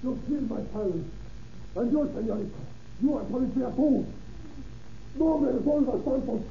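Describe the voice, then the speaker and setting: A cornered, vengeful Chilean smuggler in a 1930s radio play. His voice is extremely high and frantic, with a Spanish accent. The recording is muffled and echoing. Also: moderate pace, slight background noise. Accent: Spanish-accented English. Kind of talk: 1930s radio drama acting.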